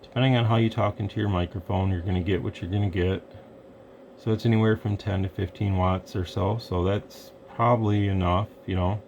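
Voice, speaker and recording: A man's voice: lowish voice, steady tone, fairly quick, darkish tone, highs cut off, slight background noise. A man casually talking through a hands-on radio equipment demo at his workbench, rambling and thinking aloud as he goes.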